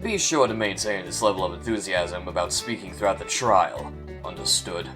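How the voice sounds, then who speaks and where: A man's voice: deep voice, expressive, normal speed, over music. A man voicing a cold, menacing male prosecutor in a fan audio drama, speaking in clipped threats. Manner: clipped, menacing, calls others "little lamb".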